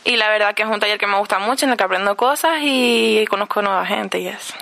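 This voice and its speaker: A young woman of twenty, speaking quickly and cheerfully. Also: medium pitch, creaky, pushed and bright. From Tenerife. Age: 20s.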